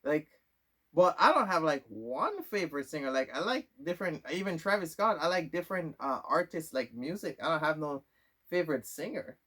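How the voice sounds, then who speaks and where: A man's voice: fairly high pitch, lively intonation, moderate pace, bright, forceful tone. A young man from Jamaica, answering questions about himself in a loose, rambling vlog monologue full of fillers and restarts. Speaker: vlogger. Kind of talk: casual Q&A monologue.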